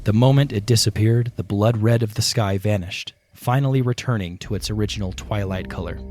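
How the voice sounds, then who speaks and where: A man narrating a scripted fantasy audio play with dramatic, deliberate storytelling. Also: lowish voice, a little mellow. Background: prominent music.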